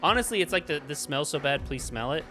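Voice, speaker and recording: A man's voice: a little high, lively intonation, quick pace, sharp tone, faint music behind. A man chatting casually and bluntly, giving a scornful, opinionated film review as a show host. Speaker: movie review podcast host.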